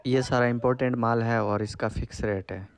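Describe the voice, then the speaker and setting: A man in his thirties from Rajasthan, vlogging in a lively, chatty way as he walks through an outdoor street market, quoting prices and haggling with vendors, recorded on a handheld camera at arm's length. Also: mid-range voice, normal speed. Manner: lively, chatty, keeps saying "so friends", quotes prices and bargains with vendors. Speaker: travel vlogger. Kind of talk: casual vlog chat while walking a street market.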